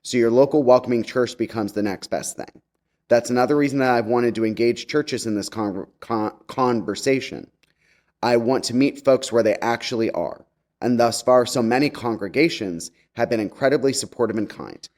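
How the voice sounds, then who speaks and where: A man's voice: medium pitch, normal speed, darkish tone. A man giving a conversational message to a congregation as a guest speaker, chatty and wry, with campy slang.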